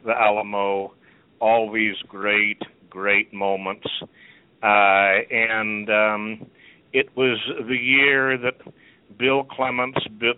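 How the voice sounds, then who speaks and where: A middle-aged man hosting a radio chat show, rambling and halting through many restarts. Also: fairly low pitch. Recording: narrow phone-like line.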